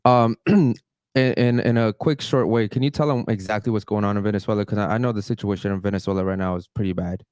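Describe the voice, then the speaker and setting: A man hosting a casual podcast, giving shout-outs and interviewing guests. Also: fairly low pitch, steady tone, fast talker, no room sound, studio quality. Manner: hypes the chat and sponsor, asks guests questions. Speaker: podcast host.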